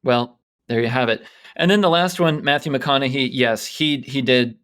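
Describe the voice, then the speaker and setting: A man's voice: medium pitch, moderate pace, fairly bright tone, clean tone. A man co-hosting a podcast, casually retelling news stories in a bantering talk style. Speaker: co-host presenting a news quiz segment.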